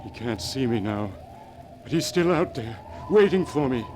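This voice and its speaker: An actor playing a haunted, fearful older uncle in a 1940s radio horror drama, speaking gravely and theatrically. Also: male voice, medium pitch, animated, moderate pace, lightly acted. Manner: grave, theatrical, dread-laden. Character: haunted, fearful uncle convinced madness and death await him. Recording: noisy old-time radio recording.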